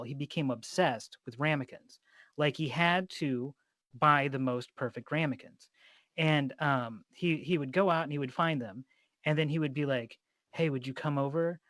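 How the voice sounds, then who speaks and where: A man's voice: medium pitch, fairly bright tone, dry recording. A man interviewing a guest in an informal, meandering way, chatting and telling rambling anecdotes. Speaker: interviewer, host. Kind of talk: casual chat, rambling anecdotes.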